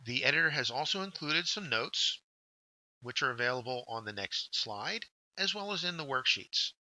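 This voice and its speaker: A man narrates a scripted workshop lesson in a very bright, forward voice at a middle pitch. He speaks directly to learners and takes them through instructions one step at a time. The sound is dry and close, with very little room around it. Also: lively intonation, moderate pace, no room sound, low-quality recording.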